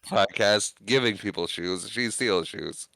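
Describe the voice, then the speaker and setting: A man's voice: lowish voice, a little slow, gravelly, pushed and bright, dry recording. A man co-hosting a podcast, retelling film scenes in casual chat, acting out the characters' quoted lines. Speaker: podcast co-host.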